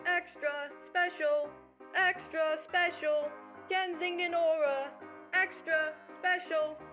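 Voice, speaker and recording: Radio drama street news crier: very high, slow sing-song call, slightly dull sound. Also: female voice, fairly even intonation. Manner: drawn-out sing-song calling. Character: street newspaper seller crying out lurid headlines. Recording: slightly dull, faint music.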